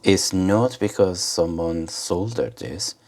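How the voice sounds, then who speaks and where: A man giving an informal hands-on commentary for a repair video, speaking haltingly in short phrases with pauses. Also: low voice, lively intonation, darkish tone. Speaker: host of an electronics repair video.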